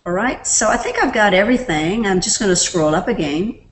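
A woman presenting a screen-recorded software tutorial, explaining each step in a relaxed way with fillers. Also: medium pitch, a little fast, a little hiss or hum, rough recording. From Canada.

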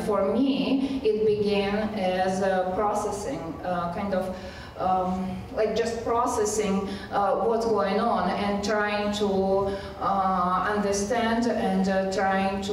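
A middle-aged woman from Latvia whose native language is Russian speaks as a panelist. She goes slowly, hesitating often as she gathers her thoughts. The sound is very echoey and distant, with a faint noise behind. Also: mid-range voice. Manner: halting, frequent hesitations. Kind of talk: informal panel introduction. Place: college event room, standing and seated at table. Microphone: handheld microphone.

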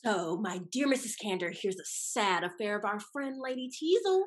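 A woman's voice: mid-range voice, animated, normal speed, natural voice. A young actress playing a haughty, catty society lady in a period stage comedy, arch and affected. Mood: catty, alarmed. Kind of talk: theatrical period comedy acting. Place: room at home on a video call.

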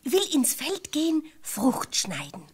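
A woman playing a naive, stubborn, whiny young peasant wife in a fairy-tale radio play, pleading in a drawn-out singsong. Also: sits high, huge pitch swings, heavily acted. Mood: naive, dreamy.

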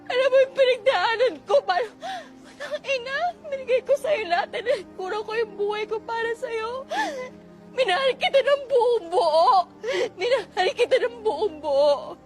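A woman's voice: extremely high pitch, expressive, fast talker. A woman playing a betrayed, heartbroken girlfriend in a melodrama, sarcastic, accusing and tearful. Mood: sobbing, heartbroken. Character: betrayed, heartbroken girlfriend confronting her cheating lover. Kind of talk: melodramatic film-style acting. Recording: loud music behind.

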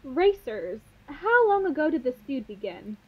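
Woman acting a high, animated sports commentator. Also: measured pace. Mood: composed. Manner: animated announcer delivery. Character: excitable female sports co-commentator, cheerful, deadpan quips. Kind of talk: mock sports commentary in radio play. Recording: dull, noisy amateur recording.

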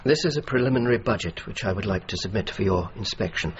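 An actor playing an earnest, determined army officer in a radio drama, speaking in a formal, measured, persuasive way. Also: male voice, fairly low pitch, animated. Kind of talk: radio drama dialogue and narration. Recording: muffled radio recording with clear noise.

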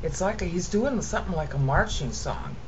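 Low-voiced American woman chanting Greek. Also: brisk. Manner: explains then chants text in rhythmic cadence. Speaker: Bible teacher. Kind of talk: screen-share lesson with chanted reading. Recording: dull lo-fi audio with steady noise.

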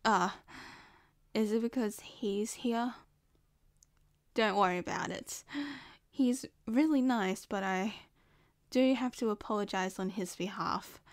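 A woman's voice: mid-range voice, slow, long pauses, no echo, clean studio sound. A woman with an Australian accent playing a possessive, scheming young woman in an ASMR roleplay, sweet and coaxing. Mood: sweet, probing.

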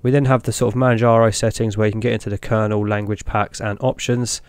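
A young man hosting a tech channel, talking through each step in a relaxed way as he clicks through an install on screen. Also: on the low side, fast talker, smooth, no echo, studio quality. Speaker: tech video host. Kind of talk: screen-recorded walkthrough narration.

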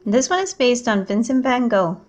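High-voiced woman reading a children's book aloud. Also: very animated, moderate pace. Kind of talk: read-aloud narration of a picture book.

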